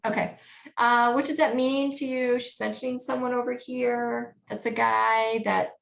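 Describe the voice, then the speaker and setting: Soft-spoken woman medium, high voice. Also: flat delivery, moderate pace, creaky. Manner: soft-spoken, frequent checking questions. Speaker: spiritual medium. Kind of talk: live spiritual reading.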